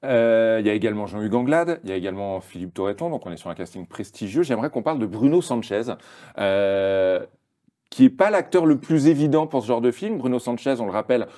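A man hosting a podcast interview, asking measured questions and backing the guest up with short agreements. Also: mid-range voice, expressive, mellow.

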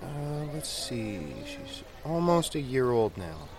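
Earnest everyday man playing a zombie survivor, animated delivery. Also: medium pitch, takes it slowly. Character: Brian, easygoing earnest man caring for a baby. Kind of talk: audio drama dialogue.

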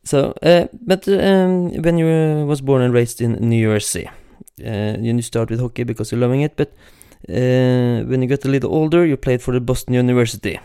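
Male interview host, hesitant with many uhs, clear smooth voice, dry close sound. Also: mid-range voice, moderate pace, few pauses, mellow, no room sound. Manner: hesitant, many uhs, non-native phrasing. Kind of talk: casual interview questions.

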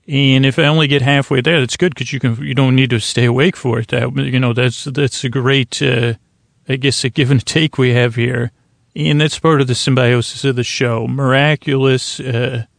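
An American man with a creaky voice hosting a sleep podcast, rambling soothingly and haltingly, with mumbly tangents that trail off. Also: medium pitch, no room sound, studio quality. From Syracuse, New York, United States. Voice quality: creaky, gravelly. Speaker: host of a bedtime sleep podcast. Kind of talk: rambling, soothing bedtime monologue.

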